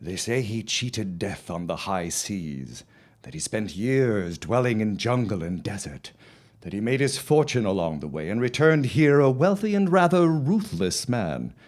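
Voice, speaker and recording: A man acting a confident, dismissive farmer husband of the early 1900s in an audio drama, with formal, deliberate phrasing. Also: on the low side, very animated, darkish tone. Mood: grave storytelling.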